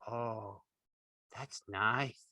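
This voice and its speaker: Big childlike slow-witted farmhand, high-pitched. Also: male voice, fairly even intonation, bright, forceful tone, no echo, studio quality, full character voice. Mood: blissful. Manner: simple, earnest, ungrammatical rural speech. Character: big childlike slow-witted man, gentle, easily panicked. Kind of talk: audiobook character acting.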